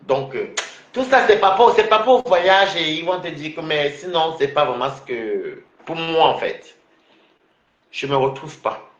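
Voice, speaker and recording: A man's voice: a little high, animated, unhurried, roomy sound, highs cut off. Rambling man from Cameroon talking casually. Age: adult. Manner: rambling, many restarts and fillers. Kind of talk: casual personal monologue.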